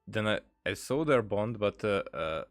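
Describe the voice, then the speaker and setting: Male gaming streamer, lowish voice, dry room, casual halting commentary. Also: expressive, no echo, faint music behind. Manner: hesitant, halting, repeats words. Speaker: gaming streamer, let's player.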